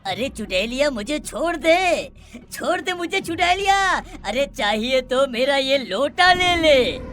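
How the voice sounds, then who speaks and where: A woman voicing a touchy, easily panicked male villager in an animated moral story. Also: high voice, slow pace, heavily acted. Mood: pleading.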